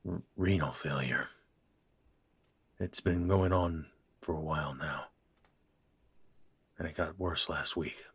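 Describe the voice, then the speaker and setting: Man voicing a blunt tired father, very deep, slow, extremely clear voice. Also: a bit bright, full character voice. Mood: weary. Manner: blunt, sighing, slow-spoken. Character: gruff aging father, blunt and weary.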